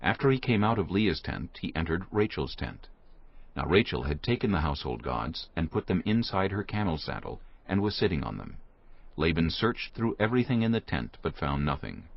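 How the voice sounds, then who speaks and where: A man's voice: low-pitched, very animated, normal speed, creaky, breathy edge. A man narrating a dramatized scripture reading from a script. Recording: dull lo-fi audio with music behind.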